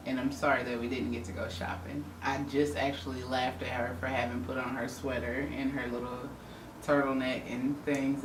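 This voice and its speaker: A woman from the US Midwest with a Southern-tinged accent, chatting candidly to camera with her wife and often trailing off with "I don't know.". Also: rumbling low. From Indianapolis, Indiana, US Midwest. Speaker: vlogger. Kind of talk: casual couple's chat to camera. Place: home room. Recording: roomy, distant mic with faint noise.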